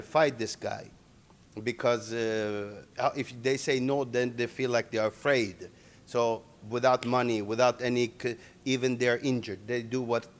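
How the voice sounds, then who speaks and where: A middle-aged man, born in Iran and raised in Sweden, answering interview questions in halting English full of restarts. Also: mid-range voice. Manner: halting non-native English with many restarts. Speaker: interviewee, MMA organization owner.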